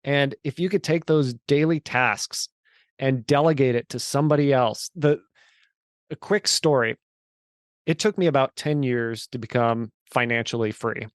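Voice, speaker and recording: A man's voice: mid-range voice, moderate pace, no room sound, studio quality. A man of forty, a guest in an interview, telling his story in an earnest, emphatic way. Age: 40s. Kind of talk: casual interview chat.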